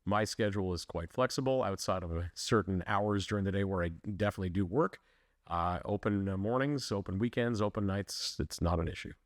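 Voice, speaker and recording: Earnest guy pitching himself for town council, kinda low voice, dry room. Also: brisk, no echo. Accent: Canadian English. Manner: earnest, restarts and fillers, emphatic. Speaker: municipal election candidate. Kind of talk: interview answers, conversational.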